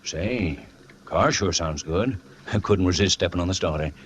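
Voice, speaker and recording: An actor playing a chatty, delighted car owner in a folksy 1940s radio advertisement. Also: male voice, deep voice, moderate pace, lightly acted. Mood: chatty, pleased.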